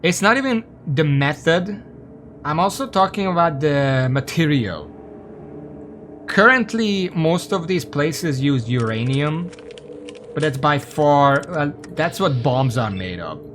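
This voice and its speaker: A man's voice: a little high, big pitch swings, slow, a bit bright, a little hiss or hum. A man from Hungary, giving casual, rambling, sweary live commentary as he streams a game and chats with viewers.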